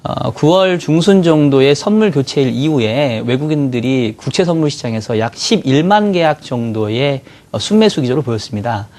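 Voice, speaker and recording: A man with a cold-roughened voice, a bond strategy guest in a TV studio, explaining market moves in a measured way with many fillers. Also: mid-range voice, lively intonation, darkish tone. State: hoarse from a cold. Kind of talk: financial market analysis.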